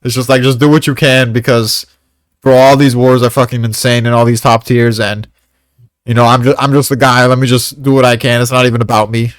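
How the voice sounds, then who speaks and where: A man's voice: medium pitch, little pitch movement, a little fast, no room sound. Male co-host, mid-range voice, casual and slangy, rambles with plenty of swearing, dry recording. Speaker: co-host of a manga discussion. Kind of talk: casual chat.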